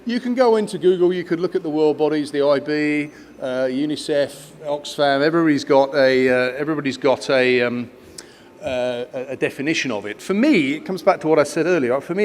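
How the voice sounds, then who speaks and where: A man's voice: mid-range voice, slight background noise. A man in his fifties, raised in Kenya, giving a conference speech with many fillers and restarts. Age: 50s. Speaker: guest speaker at an education conference.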